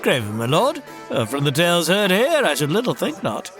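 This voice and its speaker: A man's voice: sits high, wildly swooping pitch, normal speed, over music. A man playing a reproachful medieval Lord Chancellor in an audio drama, formal and theatrical in archaic English.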